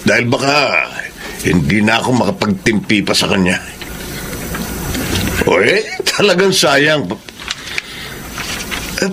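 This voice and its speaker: An actor playing a disapproving, resentful middle-aged Filipino father in a radio drama, speaking in a measured, emphatic way. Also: a little high, a bit bright, a little hiss or hum, exaggerated voice.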